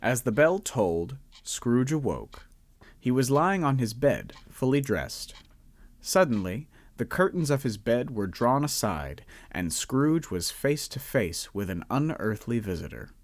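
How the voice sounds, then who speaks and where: A man narrating a radio drama in a measured, expressive storytelling manner. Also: mid-range voice, no echo.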